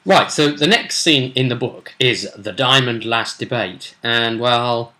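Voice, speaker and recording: A man's voice: medium pitch, measured pace, pushed and bright. A man with a British accent giving a casual recap as a game master, explaining in a rambling, colloquial, stop-start way. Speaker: game master recounting sessions. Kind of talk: casual session recap vlog.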